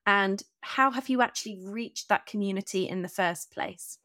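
A woman's voice: mid-range voice, normal speed, pushed and bright, dry recording, studio quality. A woman hosting a conversational podcast interview, speaking earnestly and affirmingly with emphatic repetition.

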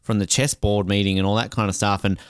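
A man's voice: on the low side, little pitch movement, fast talker, clean tone, no echo, studio quality. An Australian man chatting casually as a podcast co-host, in a jokey, rambling, sweary way.

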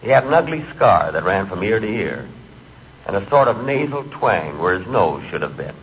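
An actor playing a nervous, hapless middle-aged man who tells his misadventures with deadpan earnestness in a 1940s radio comedy. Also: deep voice, moderate pace. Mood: storytelling. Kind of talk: 1940s radio comic monologue. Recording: dull, noisy 1940s radio recording.

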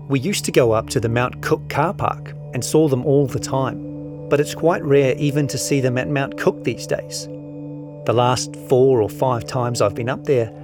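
A man narrates a scripted long-form article, reading out both the reporting and the quotations. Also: mid-range voice.

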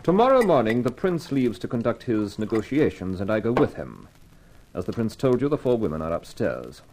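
A man's voice: on the low side, sing-song, a little fast, darkish tone, a little hiss or hum. A man playing a courteous, humourless Middle Eastern aide to a prince, speaking formally in a 1950s radio drama. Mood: solemn instruction.

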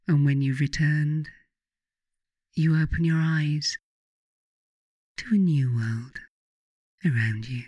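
A meditation guide reads at a very unhurried pace in a soothing, instructing manner. The voice sits in a middle register and is recorded very dry, with no room around it. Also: mid-range voice, a little mellow, dry recording, highs cut off. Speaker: author narrating a guided meditation. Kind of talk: guided meditation, scripted narration.

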